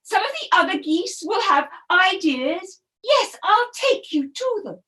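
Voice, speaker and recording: A woman's voice: very high-pitched, very animated, pushed and bright, voice pushed up, exaggerated voice. A woman doing a squawking mother goose. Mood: kindly. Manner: squawking, brisk and bossy. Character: busy mother goose, brisk then kindly.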